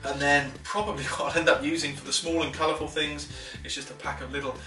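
A man, giving a chatty, enthusiastic fishing tackle tutorial at a table at home, with filler words and quick restarts. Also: fairly high pitch, steady tone, sharp tone. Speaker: fishing instructor. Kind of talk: casual tackle tutorial to camera. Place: living room at a wooden table. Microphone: camera a few feet away. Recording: roomy, slightly distant, faint music.

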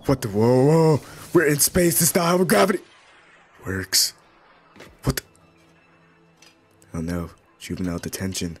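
A man improvising a comedic fan voice-over for a cartoon in a deadpan, goofy manner. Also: medium pitch, measured pace, long pauses, husky, faint music behind. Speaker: amateur fan dubber.

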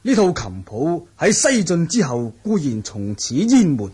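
Shrewd wuxia wanderer, Hong Kong Cantonese, very husky, lively intonation, persuasive, old radio drama. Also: male voice, a little high, a little slow, full character voice. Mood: storytelling, sly. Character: shrewd, jovial, smooth-talking male martial-world wanderer.

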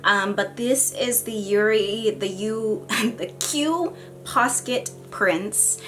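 Young woman, raspy sick voice, casual chat, self-mocking, lots of ums, home room, desk camera. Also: medium pitch, slow pace, a bit bright, slight background noise. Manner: chatty, self-mocking, frequent ums and likes. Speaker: figure collector vlogger. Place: home room with figure shelves. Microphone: camera at desk distance.

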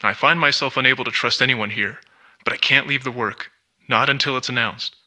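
An actor playing a guarded, paranoid scientist in a video game, speaking in formal, grave, reflective monologue. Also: male voice, medium pitch, fairly even intonation, a little fast, pushed and bright. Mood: guarded, worried.

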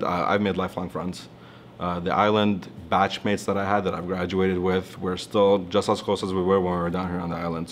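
A man's voice: deep voice, a little hiss or hum. A man in his thirties from Toronto, a physician speaking earnestly in a seated studio interview testimonial. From Toronto, Canada. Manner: earnest, reflective, gestures while talking. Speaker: alumnus physician. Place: studio with branded backdrop, indoors.

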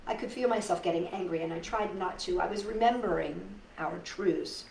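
A middle-aged woman reading a picture book aloud to children, with dramatic pauses and chatty asides to her listeners. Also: on the low side, vocal fry. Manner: animated, dramatic pauses, addresses young listeners. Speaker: storytime host reading picture books to children. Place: indoors in a living room. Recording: roomy, distant mic with background noise.

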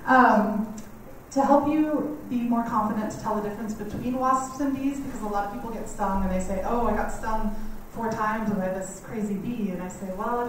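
Quiet woman lecturing in an echoey hall. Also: mid-range voice. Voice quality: quiet, slightly scratchy voice. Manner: friendly, chatty, laughs often, many ums. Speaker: guest speaker on native bees. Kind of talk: community lecture. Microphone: standing mic heard from a distance. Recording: echoey hall, mic far off, faint noise.

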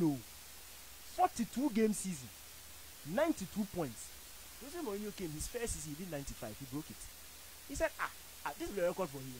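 Animated Ghanaian man arguing football. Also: high voice, slight background noise, low-quality recording. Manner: emphatic, theatrical, jokey. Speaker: sports radio panelist. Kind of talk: animated studio debate. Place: radio studio. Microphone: studio boom mic with headphones.

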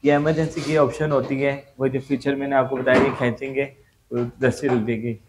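Brief: male salesman, Pakistani Urdu accent, polite sir-heavy pitch, faint room hum behind. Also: flat delivery, a little fast. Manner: polite, addresses the host as sir. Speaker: shop salesman. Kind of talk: product explanation. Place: indoor gym equipment showroom.

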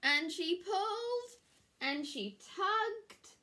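A woman's voice: very high-pitched, expressive, drawn-out words, bright, forceful tone. A woman in her thirties reading a children's picture book expressively, like a primary school teacher, in a small room at home.

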